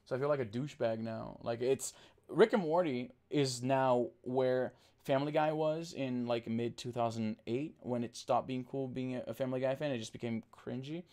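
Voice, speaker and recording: A man from Brazil whose first language is Portuguese, chatting casually on a livestream and reading out chat, rambling with restarts and fillers while talking deliberately slower. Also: mid-range voice, a little mellow. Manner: trained to talk slower on camera.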